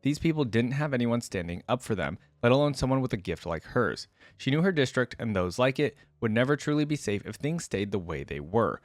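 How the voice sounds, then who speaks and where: A man's voice: medium pitch, very animated, a little fast, no echo. A man hosting a reaction video, commenting casually and reading written lore aloud. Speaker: YouTube reaction host.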